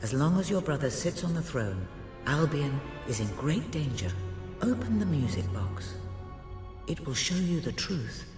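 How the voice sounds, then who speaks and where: An actor playing a grave, commanding mystic guide in a video game, speaking in a measured, portentous manner. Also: male voice, a little high, sing-song. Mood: solemn, urging. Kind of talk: dramatic video game cutscene acting. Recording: echoey long reverb, music behind.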